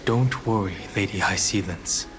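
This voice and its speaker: A man playing a steadfast, stoic warrior in a game, speaking in a measured and earnest way. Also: low-pitched, over music, natural voice. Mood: solemn vow. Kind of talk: dramatic game voice acting.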